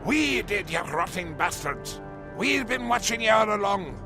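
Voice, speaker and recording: An actor playing a bitter, defiant dwarf survivor in a video game, forceful and accusing. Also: male voice, sits high, very animated, measured pace. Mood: furious. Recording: voice under loud game music.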